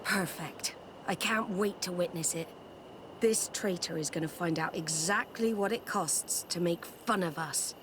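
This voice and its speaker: A woman's voice: lowish voice, measured pace, lightly acted. A woman playing a proud, resolute female admiral in a video game, with a firm, formal, commanding manner. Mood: vengeful. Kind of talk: video game cutscene acting. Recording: noisy outdoor ambience behind the voice.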